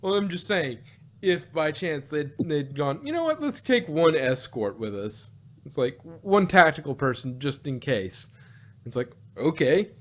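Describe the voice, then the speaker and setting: A man co-hosting a review podcast in casual, unscripted chat, rambling and often rephrasing himself with animated asides. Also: fairly high pitch, unhurried. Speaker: podcast co-host reviewing audio dramas.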